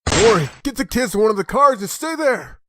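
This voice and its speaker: A woman playing a caring survivor who takes charge in a horror comic dub, with a husky voice. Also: a little high, sing-song, brisk, a bit bright, dry recording. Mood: urgent.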